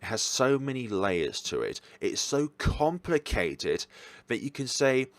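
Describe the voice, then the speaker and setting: A man's voice: mid-range voice, sing-song, a little slow, dry recording. A man hosting a casual, chatty ranking of games, rambling and correcting himself as he goes, with an enthusiastic, hesitant manner. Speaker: host reviewing visual novels.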